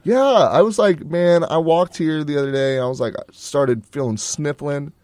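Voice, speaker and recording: A young man co-hosting a college radio show, telling stories in a rambling, relaxed way with plenty of fillers. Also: a little high, normal speed, dry recording, studio quality. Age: young adult. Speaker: co-host. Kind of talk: casual chat.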